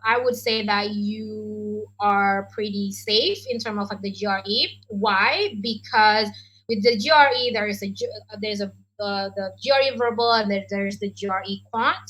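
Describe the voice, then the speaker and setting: A woman's voice: mid-range voice, normal speed, a bit bright, rough recording. An Indonesian woman, speaking English as a second language, answers questions as an education adviser in a chatty way full of ums and likes. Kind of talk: online Q&A session.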